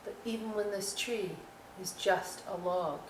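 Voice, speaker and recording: A woman hosting a children's storytime, reading aloud and explaining crafts with folksy, chatty, expressive asides to young listeners. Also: medium pitch, unhurried. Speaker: library storytime host. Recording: roomy echo, distant mic, faint noise.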